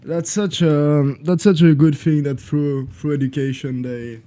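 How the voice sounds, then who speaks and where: A man's voice: a little high, fairly even intonation, a little slow, warm tone. A man from Switzerland with a Swiss French accent, a French native speaker, chatting as a podcast guest and sometimes searching for words. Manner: searches for words, restarts phrases. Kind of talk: casual podcast chat.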